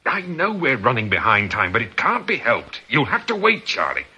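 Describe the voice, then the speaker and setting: An actor playing a brisk, bossy gang leader in a radio drama, firm and insistent. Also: male voice, mid-range voice, huge pitch swings, bright, forceful tone, breathy edge, full character voice. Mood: firm, managing. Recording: slightly noisy radio drama recording.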